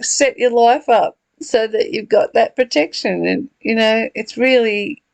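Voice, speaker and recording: A woman's voice: a little high, measured pace, creaky, no echo. A woman leading a reflective spiritual discussion, earnest and given to rhetorical questions and repeated phrases. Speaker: study group leader.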